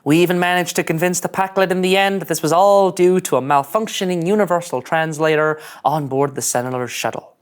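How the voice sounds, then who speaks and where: A woman's voice: fairly low pitch, a little fast, few pauses, natural voice. A woman playing an earnest, easily flustered young male starship ensign in a melodramatic sci-fi script reading. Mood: rueful narration. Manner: melodramatic, indignant monologuing. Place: studio.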